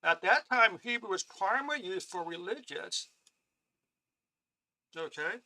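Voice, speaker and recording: A man teaching a live-streamed Bible lesson, talking haltingly with many restarts and clearing his throat, often checking in with "okay?". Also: high voice, expressive, bright, forceful tone. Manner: reads from on-screen notes and explains them.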